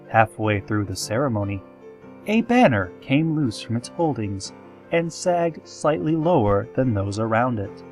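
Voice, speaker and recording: A man playing a solemn, eerie pony radio host, reading scripted parody news in a measured, formal deadpan. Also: mid-range voice, lightly acted.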